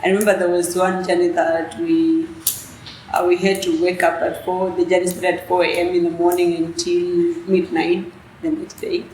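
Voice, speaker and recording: A young woman from South Sudan, a native Dinka speaker, answering interview questions in a soft, hesitant way with many restarts. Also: on the low side, little pitch movement, normal speed. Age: young adult. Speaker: student leader guest. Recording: echoey room with faint noise.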